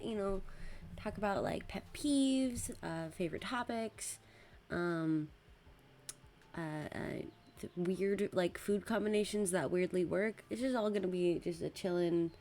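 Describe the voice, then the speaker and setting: A young woman hosts a relaxed streamed chat at an unhurried pace, with frequent giggles. Her voice sounds a little worn, as if she is losing it. It is a home streaming setup with a faint hiss behind her. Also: mid-range voice. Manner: giggly, laughs often between words. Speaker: podcast host and VTuber.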